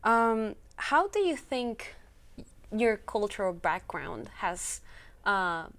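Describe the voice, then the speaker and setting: A woman's voice: mid-range voice, very animated, takes it slowly, a bit bright. A woman interviewer hosting a studio interview, encouraging her guest with frequent murmurs of agreement. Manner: friendly, encouraging with frequent backchannels. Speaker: interviewer, executive producer.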